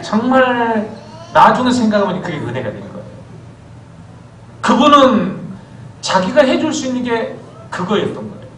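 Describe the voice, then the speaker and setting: Animated preacher in an echoey church. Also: male voice, high-pitched, a little hiss or hum. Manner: animated storytelling preacher, calls for amens. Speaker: pastor. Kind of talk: sermon. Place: church sanctuary. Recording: echoey church hall from far off.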